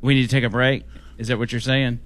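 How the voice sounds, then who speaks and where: A man's voice: mid-range voice, flat delivery, fast, fairly bright tone. A man co-hosting a radio show. He jokes bluntly and steers the talk toward the breaks. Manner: blunt joking, leads the breaks. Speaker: radio co-host. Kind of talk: casual studio banter. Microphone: studio mic.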